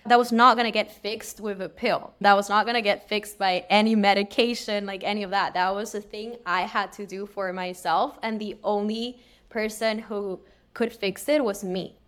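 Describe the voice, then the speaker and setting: A woman's voice: mid-range voice, fairly even intonation, normal speed, fairly bright tone. A young woman from Peru, a podcast guest telling her personal story casually, candid and earnest. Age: young adult.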